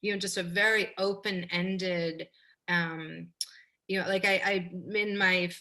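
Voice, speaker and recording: A woman's voice: fairly low pitch, steady tone, unhurried, bright, forceful tone. A woman curator reflecting in an interview, hesitant and searching, with many fillers.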